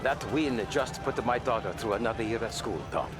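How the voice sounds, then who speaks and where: An actor playing a stern, dutiful middle-aged mafia consigliere with a measured, formal delivery in a video game cutscene. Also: male voice, mid-range voice, lively intonation, over music, natural voice. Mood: measured, firm. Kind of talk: cinematic video game acting.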